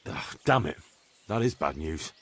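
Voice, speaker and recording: A man's voice: extremely low pitch, big pitch swings, measured pace, fairly bright tone, breathy edge, heavily acted. An actor playing a plainspoken, decisive young male bailiff in a medieval video game. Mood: weary, resolute. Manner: plainspoken, matter-of-fact. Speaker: village bailiff character. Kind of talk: video game cutscene acting.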